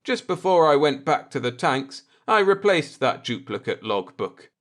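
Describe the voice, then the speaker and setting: A man voicing a coolly vindictive spaceship navigator in an audiobook, deliberate and sardonic as he explains. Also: fairly high pitch, sing-song, a bit bright. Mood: coolly triumphant.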